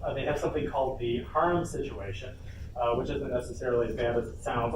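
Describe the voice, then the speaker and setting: A man presents a workshop in an informal, explanatory style. His medium-pitched voice is picked up from a distance in a roomy, echoing convention space. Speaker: workshop presenter, game developer. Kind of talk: informal instructional talk to an audience. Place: indoor convention panel room. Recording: roomy echo, distant mic, noisy convention room.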